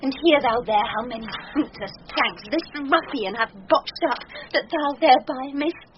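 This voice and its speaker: A woman's voice: high-pitched, normal speed, background noise, lightly acted. A woman playing an imperious, passionate noblewoman in a classical radio play, commanding and emotional. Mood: tender pleading. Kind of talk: classical radio drama acting. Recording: muffled radio recording with music behind.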